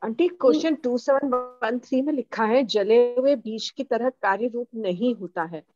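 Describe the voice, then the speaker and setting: High-voiced woman student asking questions. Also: normal speed, darkish tone, dull audio, faint music behind. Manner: inquisitive, asks follow-up questions politely.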